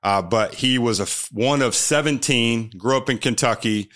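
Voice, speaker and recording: A man's voice: medium pitch, moderate pace, fairly bright tone. An American man in his thirties, a podcast guest telling his life story candidly, often repeating words as he starts a sentence.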